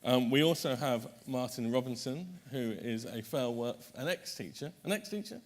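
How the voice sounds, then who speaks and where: A man's voice: medium pitch, lively intonation, moderate pace. A man chairing a conference panel, introducing the speakers in a chatty way, correcting himself often and making light jokes.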